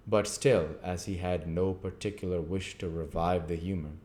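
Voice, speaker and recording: A man narrates a storybook in a very low, dark voice. His reading is deliberate, moving phrase by phrase. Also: fairly even intonation, slight background noise. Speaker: audiobook reader.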